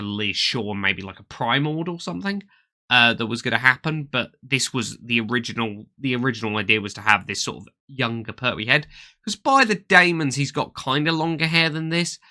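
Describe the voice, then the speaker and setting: A man's voice: mid-range voice, pushed and bright. A man with a slight cold and a nasal voice, hosting a casual toy collecting news chat with eager, rambling talk and many fillers. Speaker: toy collecting channel host.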